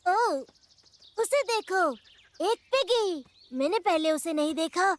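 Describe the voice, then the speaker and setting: A woman's voice: extremely high pitch, lively intonation, slow, full character voice. A woman voicing a bossy but well-meaning young boy animal cub in a children's cartoon, blunt and childlike. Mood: curious, amused.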